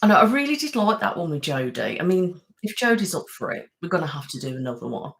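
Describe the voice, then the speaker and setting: A woman's voice: lowish voice, sing-song, fast, gravelly, a bit bright. A young woman hosting a casual live-stream chat, greeting viewers by name and talking about how tired she is after a house move. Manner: chatty, thanks viewers by name, frequent "um" and "literally". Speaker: ASMR channel host.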